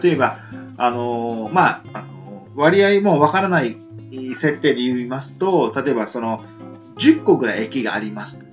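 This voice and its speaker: Rambling man with lively pitch. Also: moderate pace, faint music behind. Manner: rambling with many fillers. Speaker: radio show co-host. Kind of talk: casual chat.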